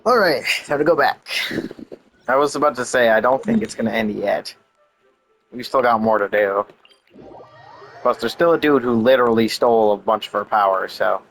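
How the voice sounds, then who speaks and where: A man's voice: medium pitch, moderate pace, long pauses, a bit bright, a little hiss or hum, low-quality recording. A young adult man giving casual gameplay commentary as a gaming streamer. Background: game music.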